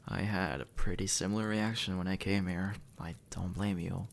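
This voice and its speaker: A sardonic male demon, acted by a man for an intimate audio roleplay. His voice sits low with a heavy creak, and he talks bluntly and conversationally, like someone long tired of explaining the afterlife to newcomers. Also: fairly even intonation, normal speed. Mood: calmer, reassuring. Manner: sarcastic, exasperated, blunt, conversational. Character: sardonic, impatient male demon, centuries dead, gruffly reassuring. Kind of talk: audio roleplay monologue addressed to the listener.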